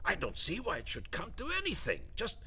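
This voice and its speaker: An actor playing an evasive, genteel deputy minister in a satirical audio drama, wordy, formal and hesitant, with a drily macabre, blandly menacing air. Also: male voice, sits high, huge pitch swings, fairly quick, pushed and bright, breathy edge, full character voice. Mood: smooth reassurance.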